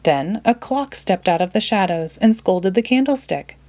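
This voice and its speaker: A woman storyteller reading a children's storybook aloud in an expressive manner. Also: medium pitch, normal speed.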